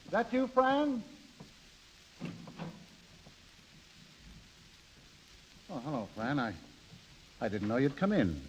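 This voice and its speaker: Folksy, weary American husband in middle age, halting delivery, 1930s radio acting, muffled vintage sound. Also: male voice, fairly high pitch, wildly swooping pitch, slight background noise. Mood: anxious. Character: plain-spoken middle-aged American husband, weary but dogged.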